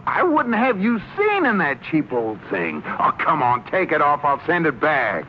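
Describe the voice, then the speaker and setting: An actor playing an anxious, henpecked American husband in an old radio sitcom, stammering and exasperated. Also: male voice, a little high, huge pitch swings, normal speed. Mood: flustered, pleading. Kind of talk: 1940s-50s radio comedy acting. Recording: dull, noisy old radio broadcast recording.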